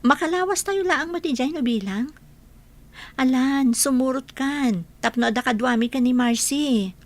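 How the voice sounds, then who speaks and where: A woman's voice: high voice, moderate pace, natural voice. A woman playing a hospitable village woman in a radio drama, speaking with an Ilocano accent. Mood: friendly, inviting. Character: hospitable village woman showing visitors around.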